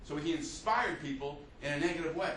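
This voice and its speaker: A man's voice: fairly high pitch, fairly quick, a bit bright, slight background noise. A man giving an unscripted history lecture as a teacher, telling it like a story, with many fillers and restarts. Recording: echoey room, mic at a distance.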